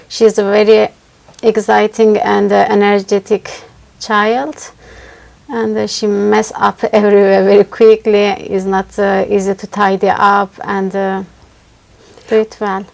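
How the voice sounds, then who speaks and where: Turkish-accented woman, gravelly edge, halting exam answers, thirties. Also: medium pitch, a little mellow. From Turkey. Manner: hesitant, frequent uh fillers, simple grammar. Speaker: test candidate.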